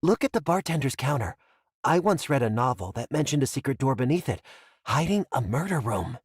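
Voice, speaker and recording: An actor playing a gossipy, imaginative townsman in an anime game, with a husky, conspiratorial delivery. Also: medium pitch, animated, a little fast, no echo, clean studio sound. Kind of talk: English anime game voice acting.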